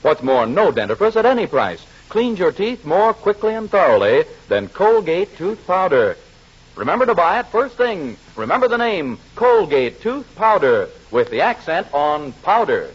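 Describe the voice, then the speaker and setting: A man's voice: sits high, sing-song, normal speed, slightly rough. A man reading a scripted 1940s radio commercial as an emphatic, salesmanlike announcer. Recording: muffled vintage radio broadcast.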